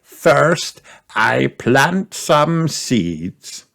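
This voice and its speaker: A man's voice: mid-range voice, sing-song, drawn-out words, vocal fry, fairly bright tone, pitched above the performer's own voice, exaggerated voice. A man voicing a kindly old grandpa pig who explains things patiently in a children's storybook. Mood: patient, proud.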